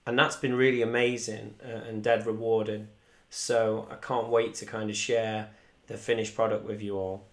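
A man's voice: on the low side, flat delivery, moderate pace. A young man, casually chatting to viewers on a live stream from home, reading out comments. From Wales. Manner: chatty, rambling, reads viewer comments aloud. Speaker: musician hosting a live stream. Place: small room indoors at home. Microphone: desk microphone in front.

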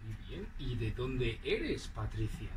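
Spanish guy in his 40s, slow teasing teacher, lively pitch, a bit off mic. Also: distant mic, background noise. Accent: Spanish from Aragon. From Spain. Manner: teasing, drawn-out emphasis. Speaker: language teacher in a scripted dialogue. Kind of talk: slow, clearly articulated teaching dialogue. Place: outdoors in front of a green wall.

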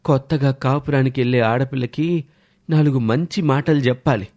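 A man acts out a stern older village father in a cartoon moral tale. He speaks at a middling pitch, advising and admonishing. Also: normal speed, darkish tone, natural voice. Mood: reproachful. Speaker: narrator and character voices. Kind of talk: scripted cartoon story narration and dialogue.

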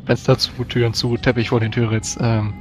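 A man's voice: medium pitch, little pitch movement, fairly quick. A man speaking in character as a player in a tabletop role-playing game, with considered wording. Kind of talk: in-character discussion.

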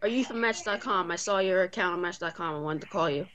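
A woman's voice: fairly low pitch, quick pace, a bit bright. A woman chatting casually and making a prank phone call, with a giggly manner. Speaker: guest co-host, prank caller.

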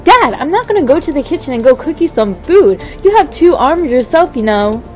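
A woman's voice: high-pitched, big pitch swings, brisk, darkish tone, faint music behind. A woman playing a hurt, defiant young daughter with a whiny, emphatic delivery in a game roleplay. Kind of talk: amateur voice-acted game roleplay.

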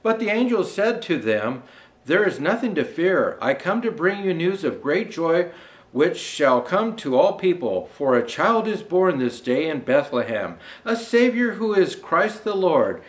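An older man reads a children's storybook aloud as Santa the storyteller. His voice sits fairly high for a man and moves up and down with plenty of expression. He phrases each line deliberately. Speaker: storyteller dressed as Santa Claus.

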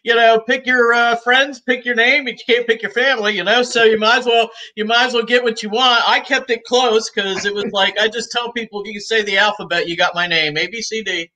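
Bright-voiced woman in her sixties. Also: on the high side, steady tone, fairly quick, few pauses, rough recording. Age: 60s. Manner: talkative and easygoing, laughs often. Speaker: guest, cigar shop owner. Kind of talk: casual interview chat. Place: room at home. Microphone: video call.

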